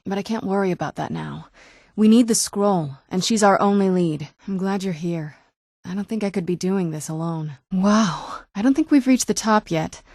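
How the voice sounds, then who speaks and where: A woman's voice: mid-range voice, darkish tone, no room sound. An actress playing a young-looking, guarded female vampire in a video game, speaking in a dry, earnest, conversational way. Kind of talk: video game character dialogue.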